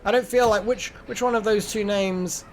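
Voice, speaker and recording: Higher-voiced man streaming a game. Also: fairly even intonation, moderate pace, slight background noise. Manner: rambling, thinks aloud. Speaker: game livestream host. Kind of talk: casual live gaming chat.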